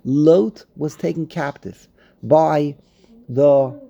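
Man with a cold, gravelly voice. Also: on the high side, very slow, warm tone, highs cut off. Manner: chatty, mixes Hebrew and Yiddish-flavored terms, explains line by line. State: congested with a cold. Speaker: Torah teacher. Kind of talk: Torah class on Haggadah piyut.

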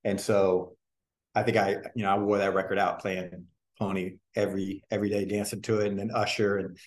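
A man in his forties from New Jersey, telling stories from his life in a relaxed, rambling interview way with many fillers. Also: low voice, fairly quick. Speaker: guest, singer and dancer. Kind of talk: casual interview storytelling. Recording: roomy echo.

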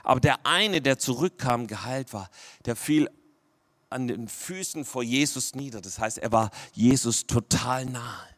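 A man's voice: medium pitch, measured pace, a bit bright. A man from Swabia, preaching a sermon to his congregation, often checking in with "ja?" and dropping to a near-whisper for emphasis. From Swabia, Germany. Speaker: pastor.

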